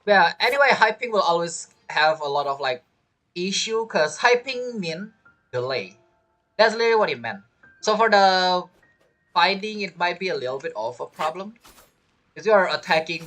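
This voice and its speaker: Non-native English speaker, lively woman streamer. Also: lowish voice, normal speed. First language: not English. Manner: hesitant, rambling, repeats phrases. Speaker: game streamer. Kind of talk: casual livestream chat while playing. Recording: game music behind the voice. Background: video game music and character voices.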